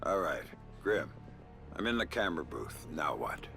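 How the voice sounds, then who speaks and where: A gruff, weary covert operative for a video game, with a very deep, gravelly voice. He speaks in terse, dry phrases over faint music. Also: sing-song, moderate pace, pushed and bright, heavily acted. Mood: focused, impatient.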